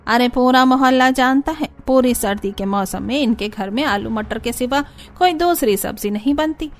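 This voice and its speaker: A woman's voice: high-pitched, moderate pace. A woman voicing a nosy, snide middle-aged neighbour in a cartoon, with a taunting manner. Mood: scornful.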